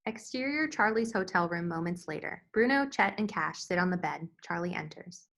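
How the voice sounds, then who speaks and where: A woman's voice: lowish voice, expressive, normal speed, gravelly, fairly bright tone. A woman reading stage directions as scripted narration at a screenplay table read.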